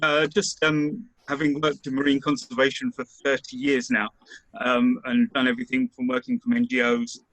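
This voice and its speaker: Gravelly man in his fifties, dry sound. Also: mid-range voice, lively intonation, moderate pace, a bit bright, no echo, poor audio. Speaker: marine conservation professional. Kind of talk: webinar comment. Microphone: video call.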